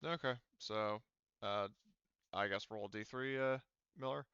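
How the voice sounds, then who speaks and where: A man acting as game master in a casual tabletop roleplaying session, halting and thinking aloud as he checks the rules. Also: lowish voice, bright, forceful tone, smooth, dry recording, highs cut off.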